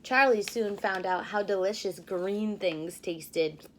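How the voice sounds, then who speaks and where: A woman in her thirties reading a children's storybook aloud like a teacher, expressively, pausing to explain and ask questions. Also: mid-range voice, a little hiss or hum.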